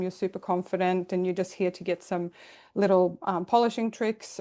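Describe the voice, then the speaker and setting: A Swedish-born woman coaching a live online workshop, her pitch sitting in the middle of the range. She talks in a chatty, welcoming way and laughs lightly between points. She comes through a video call. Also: darkish tone. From Sweden. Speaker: career coach hosting a webinar.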